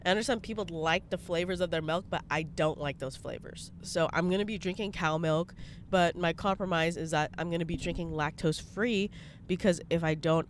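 A woman's voice: sits low, a little hiss or hum. A young woman with a congested voice, chatting bluntly in a casual vlog from her parked car, swearing freely. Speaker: vlogger.

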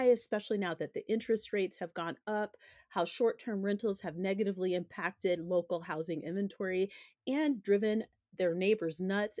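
Candid woman podcast host, slightly hoarse. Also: mid-range voice, darkish tone, no echo, studio quality. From United States. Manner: candid, conversational, opinionated. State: slightly hoarse, recovering from illness. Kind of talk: personal finance podcast monologue.

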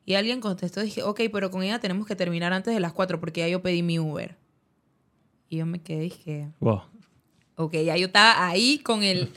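A woman's voice: medium pitch, a bit bright. A woman, a guest in an interview, recounting a personal story in conversation, who acts out the dialogue she quotes. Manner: narrative, acts out quoted dialogue, uses many fillers like "dizque". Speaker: guest telling her story.

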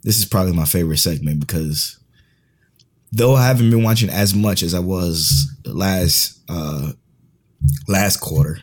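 Husky low-voiced man on a podcast. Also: unhurried. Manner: slangy, laid-back, trailing off. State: losing his voice. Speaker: podcast co-host. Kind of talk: casual podcast chat.

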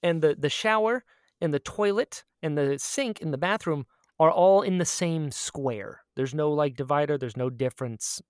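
A man in casual podcast banter, joking and self-deprecating, quick to laugh at himself. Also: fairly high pitch, smooth, dry recording, clean studio sound.

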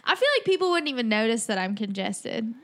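A young woman with a stuffy, nasal voice chats in easy podcast banter, her tone a touch higher than most. She giggles often and keeps things light and bubbly as a co-host. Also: big pitch swings, a little fast, a bit bright. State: congested. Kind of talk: casual podcast chat.

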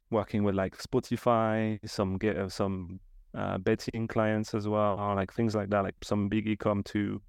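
A French-accented man in his thirties tells his story in a relaxed, meandering way, with the odd casual swear. His voice sits low with a gravelly fry, and his intonation barely moves. The sound is dry and close. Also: no echo. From southern France. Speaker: podcast guest, marketing executive. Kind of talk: casual interview chat.

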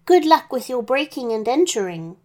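A woman's voice: a little high, big pitch swings, moderate pace, a little mellow, voice pushed up. A woman voicing a friendly, eager male wolf with a chatty, folksy manner in a children's story. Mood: proud, cheerful.

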